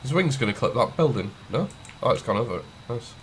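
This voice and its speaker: A man's voice: mid-range voice, animated, quick pace, fairly bright tone, slight background noise. A man with a cold, sniffling, casually talking through a game tutorial as he clicks and types. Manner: thinks aloud while clicking and typing, loose and chatty. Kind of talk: casual screen-recorded tutorial.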